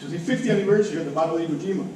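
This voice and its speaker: A man's voice: fairly high pitch, racing, darkish tone. A middle-aged man from Cuba with a Cuban accent, a native Spanish speaker, giving an emotional, emphatic, informal public speech. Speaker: public commenter at a school board meeting. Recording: very echoey room, distant mic, faint noise.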